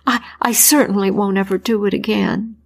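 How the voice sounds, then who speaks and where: A woman's voice: medium pitch, steady tone, normal speed, vocal fry, slightly rough. A woman voicing a nervous young male doctor who stammers and apologizes in a raised voice. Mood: flustered.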